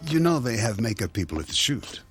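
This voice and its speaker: An actor playing a smooth, scheming husband who is glib, coaxing and sardonic, in a radio drama. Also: male voice, on the low side, sing-song, fast, faint music behind. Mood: teasing.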